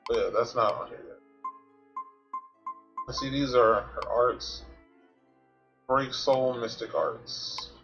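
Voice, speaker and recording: A man's voice: mid-range voice, slow pace, long pauses, fairly bright tone, highs cut off, faint music behind. A man doing casual gameplay commentary as a streamer, thinking aloud and explaining things to himself. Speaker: video game streamer.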